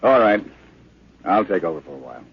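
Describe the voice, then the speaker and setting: Husky army colonel. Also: male voice, low-pitched, sing-song, a little fast, full character voice. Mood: brisk, casual authority. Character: brisk, commanding male army colonel. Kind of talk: 1950s radio drama acting. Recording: muffled old radio recording.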